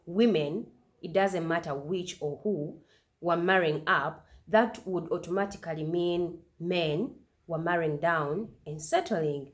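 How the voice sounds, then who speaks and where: A woman with a slightly low voice talks through her reaction video. She argues her point in animated bursts and keeps asking sharp, disbelieving questions. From Uganda. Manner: animated, incredulous rhetorical questions. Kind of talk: opinionated commentary.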